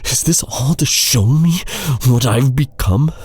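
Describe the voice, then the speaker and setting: A man playing a guilt-ridden, devoted knight in a dark fantasy audio roleplay, speaking in an earnest, dramatic, halting way. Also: medium pitch, breathy edge, no room sound, studio quality. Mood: anguished outburst. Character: brooding, guilt-ridden male knight, bitter yet tenderly devoted.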